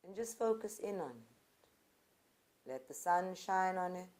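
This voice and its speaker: A woman guides a group meditation in a fairly low voice. Her delivery is very slow and deliberate, with short phrases set apart by pauses. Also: expressive, darkish tone. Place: live group session at a centre.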